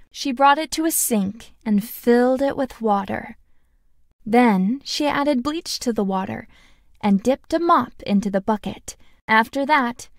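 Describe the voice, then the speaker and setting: A woman voicing a cheerful, friendly schoolgirl in a video game, speaking in a sweet, gentle and deliberate way. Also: a little high, no echo, studio quality. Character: cheerful, friendly anime schoolgirl narrating a play. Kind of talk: game tutorial voice acting, storytelling.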